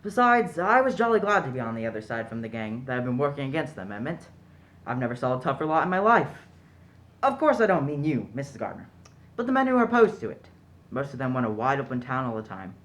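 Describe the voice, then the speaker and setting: A foppish young society gentleman played by a man in a radio play. He is jolly, naive and eager to please, happy to poke fun at himself. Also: medium pitch, sing-song, quick pace. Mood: chatty, proud. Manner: jolly, foppish, self-deprecating. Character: genial, naive upper-class young gentleman suitor. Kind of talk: stage-style radio play acting.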